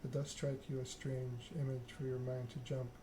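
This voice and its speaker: A man reading a narrative game aloud in an ASMR style, in a measured storybook manner. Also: mid-range voice, little pitch movement, noisy background. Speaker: let's play host reading game text aloud. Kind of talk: ASMR narration of a visual novel.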